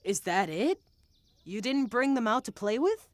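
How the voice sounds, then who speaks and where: Curious, animated young cartoon kid. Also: female voice, on the high side, a little slow, dry recording. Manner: curious, expressive, simple phrasing. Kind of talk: animated kids' cartoon dialogue.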